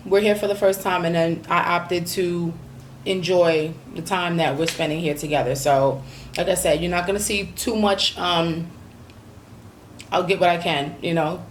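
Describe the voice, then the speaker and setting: Slightly hoarse, lower-voiced chatty woman. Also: a little hiss or hum. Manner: relaxed, chatty, many ums and you-knows. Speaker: vlogger. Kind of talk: casual vlog recap.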